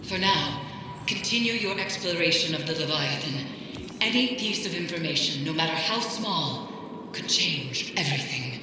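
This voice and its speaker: Grave occultist, suspicious, formal, portentous, video game briefing, long heavy reverb, clear background noise. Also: female voice, low voice, expressive, moderate pace. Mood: frustrated, resolute. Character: brooding, mystical woman occultist, grave and suspicious. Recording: very echoey long reverb, noisy ambience.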